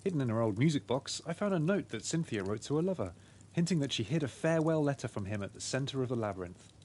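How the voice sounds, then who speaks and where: A man's voice: mid-range voice, big pitch swings, fast talker, darkish tone, a little hiss or hum, lightly acted. A man playing a pig detective in a video game, laying out his deductions in an expository, storytelling way. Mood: conclusive. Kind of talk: scripted game dialogue.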